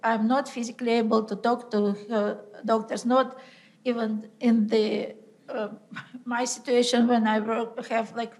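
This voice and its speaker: A woman who works in urgent care, speaking on a panel in a halting way. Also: fairly high pitch, flat delivery, darkish tone, poor audio. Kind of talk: panel discussion remarks.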